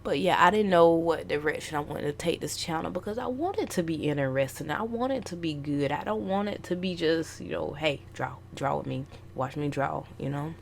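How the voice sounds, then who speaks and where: A woman's voice: low voice, brisk, gravelly, few pauses, slight background noise. A young woman artist casually rambling about her goals, stuffy from a flu, with many ums and restarts. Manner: meandering, lots of ums and restarts. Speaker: artist vlogger.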